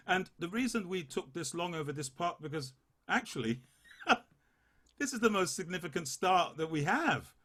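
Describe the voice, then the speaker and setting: Unhurried male choir leader. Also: high voice, sing-song, a bit bright. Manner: unhurried, sings phrases to demonstrate. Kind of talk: choir rehearsal coaching.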